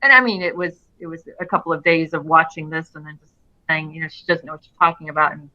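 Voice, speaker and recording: This middle-aged woman talks with a bright, forceful voice. She speaks earnestly and expressively as an interview guest and often restarts her phrases. The audio is a little dull and lo-fi. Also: low-pitched, fairly even intonation, fast. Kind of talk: casual interview conversation.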